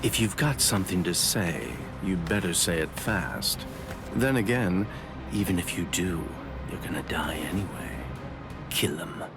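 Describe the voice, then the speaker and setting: A man playing a sly, soft-spoken young swordsman with cool menace in an anime game dub, talking in an unhurried, smooth way. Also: sits low, expressive. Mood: sly, gloating. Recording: loud music behind.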